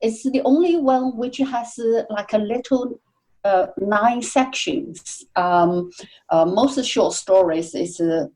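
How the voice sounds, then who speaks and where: Mellow-voiced woman, halting guest. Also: on the high side, low-quality recording. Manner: halting, many fillers and restarts. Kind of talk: conversational interview answers.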